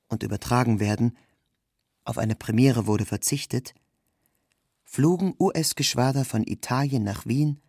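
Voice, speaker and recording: A man's voice: fairly low pitch, a little mellow, husky, dry recording, studio quality. A man reading a scripted literary narration in a sober, factual manner.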